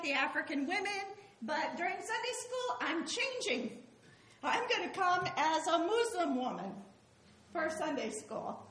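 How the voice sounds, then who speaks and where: Loud high-voiced woman in a church. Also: echoey room, rough recording. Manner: cheerful, speaks up so all can hear. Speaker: missionary. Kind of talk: addressing a church congregation.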